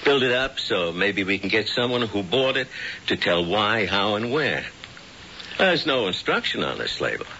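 An actor playing a tough, determined police lieutenant, blunt and businesslike, in an old-time radio drama. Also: male voice, on the low side, very animated, normal speed, bright, forceful tone, full character voice. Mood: indignant, determined. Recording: dull, hissy old radio recording.

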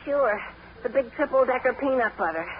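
A man's voice: extremely high pitch, expressive, moderate pace. An actor playing a confident, adventurous teenage boy, eager and boyish in 1940s radio comedy style. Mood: confident, matter-of-fact. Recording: dull, hissy 1940s radio recording.

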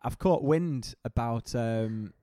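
A man's voice: medium pitch, takes it slowly, mellow, no echo, clean studio sound. A British man in his mid twenties, co-hosting a podcast in casual, joking banter. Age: mid 20s. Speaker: podcast co-host.

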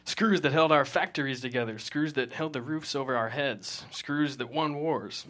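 A man's voice: medium pitch, moderate pace, fairly bright tone, close to an everyday voice. An actor reading a shabby thirty-something man who narrates his rundown town in a wry, folksy way at a comedy table read. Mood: wry, rueful. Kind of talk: scripted comedy table read, voice-over monologue.

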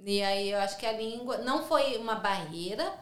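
A woman's voice: fairly high pitch, animated, moderate pace. Chatty São Paulo woman, roomy echo. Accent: Brazilian Portuguese, São Paulo. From São Paulo, Brazil. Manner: chatty, frequent tag questions. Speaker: podcast guest. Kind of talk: casual conversational interview.

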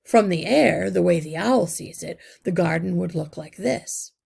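A woman voicing an old, wise male rat leader in a storybook, measured and courteous as he explains. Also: lowish voice, gravelly, a little mellow, slightly rough, full character voice.

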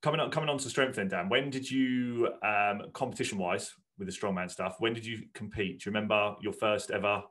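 A male podcast host asks questions in a casual, stop-and-start way, often circling back before getting to the point. His voice sits somewhat low, and he fills pauses with short murmurs of agreement. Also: a bit bright. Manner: halting questions with restarts and frequent "yeah" backchannels. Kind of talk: casual interview conversation.

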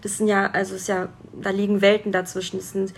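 A woman's voice: medium pitch, level tone, fast talker. A young woman hosting a casual interview podcast from a guest's home, friendly, with many fillers and affirming hums. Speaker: podcast host. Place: guest's home in a city flat.

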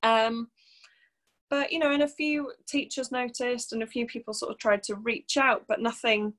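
A woman's voice: on the high side, steady tone, normal speed, a bit bright. A woman in her thirties from North Wales, a coach, talking openly and reflectively as a podcast guest, with many fillers. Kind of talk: casual interview chat.

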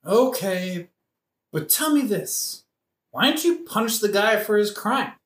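A man as sullen, indignant young avenger, swooping pitch. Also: animated, unhurried. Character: angry, suspicious young son seeking revenge, Laertes. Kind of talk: modern-language satirical audio play acting. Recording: roomy echo.

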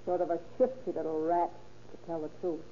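A woman's voice: deep voice, little pitch movement, fairly quick, warm tone, natural voice. An actress playing a blunt, wary rooming-house landlady in an old-time radio drama, curt and folksy with loose grammar. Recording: dull old radio recording.

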